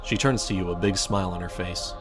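A man narrates a fan fiction story in a low-pitched voice. He tells it dramatically, as a storyteller addressing the listener, while music plays clearly behind him. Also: fairly even intonation, fairly quick. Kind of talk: scripted narration of fan fiction in second person.

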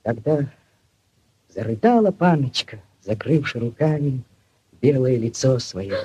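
An actor playing an ardent young village lad telling a legend theatrically in a 1940s radio play. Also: male voice, mid-range voice, measured pace, husky, rough recording. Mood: dramatic, urgent. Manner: theatrical, expressive storytelling. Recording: 1940s radio recording with music.

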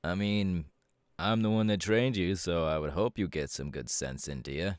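A man playing a proud, laid-back superhero mentor in an ASMR audio roleplay, talking casually with drawling, hesitant pauses. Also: low-pitched, dry recording, clean studio sound, close to an everyday voice. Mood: proud, easygoing.

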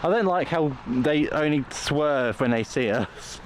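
Man, slightly high voice, animated intonation, casual rambling vlog host, outdoor traffic noise. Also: measured pace. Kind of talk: casual walking vlog narration to camera and companion. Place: outdoors walking along a country roadside in rain.

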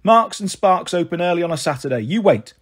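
A woman voicing a blunt, protective elder sister, a British schoolgirl, in a sharp and brisk manner for an audiobook. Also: lowish voice, animated, breathy edge. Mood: exasperated.

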